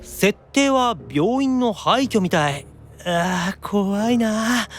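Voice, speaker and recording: A man acting out a sulky, excitable young otaku demon with a petulant, teasing manner in an improvised audio drama. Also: high-pitched, takes it slowly, over music. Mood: gleeful, scheming.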